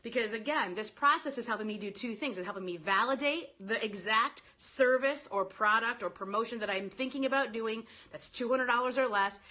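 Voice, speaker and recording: Female online sales coach, higher-pitched and very bright, informal and animated, speaking straight to a live audience of commenters. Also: brisk, hardly any pauses. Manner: animated, informal, addresses listeners directly, quotes sample phrases.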